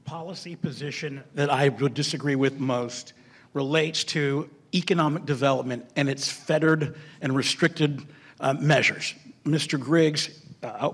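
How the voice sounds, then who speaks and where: A man with a rough, husky voice pitched a bit high gives a debate answer. He speaks emphatically as a candidate, stacking up words in lists. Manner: emphatic, piling up adjectives in lists. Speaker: mayoral candidate. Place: public forum venue.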